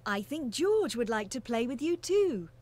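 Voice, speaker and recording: A woman voicing a kind, patient mother pig in a children's cartoon game, in a gentle motherly manner. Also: on the high side, big pitch swings, a little slow.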